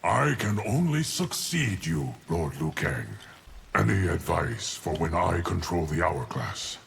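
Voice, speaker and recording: An actor playing a stoic, loyal ancient guardian of time in a video game, formal, composed and grave. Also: male voice, deep voice, very animated, measured pace, gravelly, fairly bright tone, a little hiss or hum, exaggerated voice. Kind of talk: video game fight-intro dialogue.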